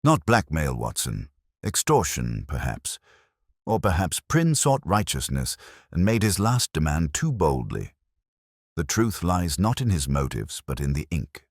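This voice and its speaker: A man acts out a master detective from a mystery audiobook, very deep-voiced and coolly analytical. He speaks in formal, declamatory phrases with lively swings of pitch. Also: measured pace, no room sound, studio quality, exaggerated voice. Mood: commanding.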